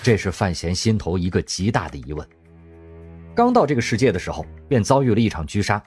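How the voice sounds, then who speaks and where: A male radio drama narrator with a husky, slightly low voice, telling the story expressively. His pitch rises and falls in lively, animated swings. Soft music plays under his narration. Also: a little fast.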